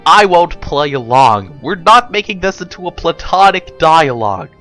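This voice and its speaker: A man acts out an indignant, foul-mouthed pony in a story reading. The voice is blunt and emphatic, bright and forceful, with pitch that jumps around as he defies everyone. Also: very animated, normal speed, faint music behind. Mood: defiant, angry. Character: defiant, foul-mouthed male pony, irritated by pompous arbiters.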